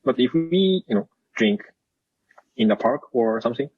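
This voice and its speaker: Soft-voiced Japanese man with an accent. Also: medium pitch, animated, moderate pace, husky, no echo, muffled recording. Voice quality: soft, small voice. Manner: hesitant, many "you know" and "hmm". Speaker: podcast guest. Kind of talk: casual chat over drinks.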